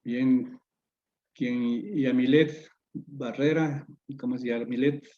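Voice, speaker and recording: A man moderating an online course on a video call, speaking with many pauses and fillers. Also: mid-range voice, steady tone. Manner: hesitant, many fillers and restarts. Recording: dull lo-fi video call audio.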